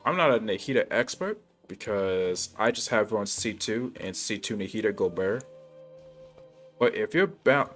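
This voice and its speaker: A man's voice: lowish voice, fairly bright tone. A man chatting casually on a gaming livestream in laid-back, slangy bro talk. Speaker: game livestreamer. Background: game music.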